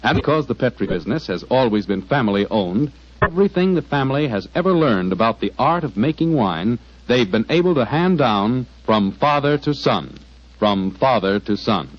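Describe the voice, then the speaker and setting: A dull, band-limited 1940s radio recording of an American male announcer. He has a mid-pitched voice with animated swings, polished and folksy like a practiced sponsor pitchman. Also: normal speed, no room sound.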